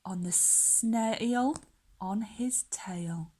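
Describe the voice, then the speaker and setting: A woman reading a children's story aloud in a dramatic, measured way, lingering on the rhymes. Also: medium pitch, mellow, low-quality recording.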